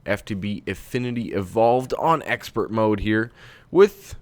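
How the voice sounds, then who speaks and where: A congested, stuffed-up man talks through his game in a relaxed, chatty way, with plenty of ums as he thinks aloud. His voice sits low. The sound is close and dry, with no room around it. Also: measured pace, no room sound. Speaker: let's-play host. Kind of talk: casual gameplay commentary.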